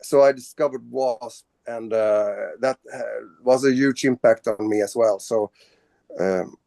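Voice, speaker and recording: A man's voice: mid-range voice, unhurried, dark tone, no room sound. A man from Sweden, a band's singer, answering interview questions casually, with many fillers and restarts. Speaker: band vocalist.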